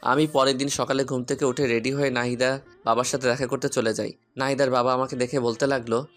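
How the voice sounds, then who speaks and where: A man's voice: mid-range voice, level tone, fairly quick. A man narrating a scripted story in the first person. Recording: music under the voice. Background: guitar music.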